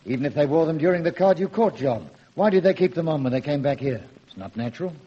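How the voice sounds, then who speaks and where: A stern, sceptical police inspector in a radio detective play. His voice is fairly high for a man, husky and soft-edged, with a methodical air of command, on a dull old recording. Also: lively intonation. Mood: sceptical, authoritative. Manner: brisk, authoritative, methodical. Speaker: actor in a radio detective drama. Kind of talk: radio drama acting.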